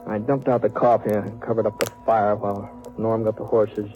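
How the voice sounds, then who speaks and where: Laconic cowboy on muffled old radio, played by an actor. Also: male voice, fairly low pitch, flat delivery, close to an everyday voice. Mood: reflective narration. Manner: folksy, halting, understated drawling talk. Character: laconic, easygoing cowboy drifter narrating his trail drive, peacemaking. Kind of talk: 1950s radio western acting and first-person narration. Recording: dull old radio recording, music behind.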